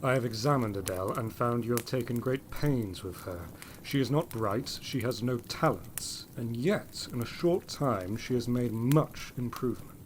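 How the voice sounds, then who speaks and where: A man acts out an abrupt, imperious master of a gothic house, questioning and ordering in a mid-pitched voice. It is period audio drama, with clear background noise behind him. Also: normal speed, lightly acted, rough recording. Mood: brusque, probing. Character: brusque, commanding gentleman master of a gothic manor.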